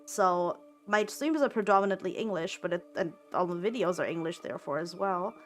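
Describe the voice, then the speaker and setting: A woman's voice: fairly low pitch, brisk, soft background music. A young woman streaming, chatting casually while she plays, repeating words and filling gaps with ums and likes. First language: German. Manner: rambling, repeats words, many fillers.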